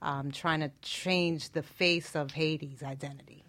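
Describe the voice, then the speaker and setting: A woman's voice: low-pitched, fairly even intonation, unhurried, a crowd in the background. A woman speaking casually as a guest on a talk show about her own work. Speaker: guest, filmmaker. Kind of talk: casual interview chat.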